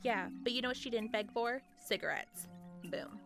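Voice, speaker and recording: A woman's voice: on the high side, normal speed, music in the background, natural voice. A woman playing a blunt, callous supervillain in a comedic radio play, dry and sarcastic.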